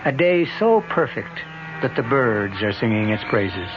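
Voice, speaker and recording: A man hosting and narrating a 1970s radio mystery drama in a deliberate, dramatic scripted style. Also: on the low side, big pitch swings, noisy background. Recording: muffled old radio broadcast, music behind.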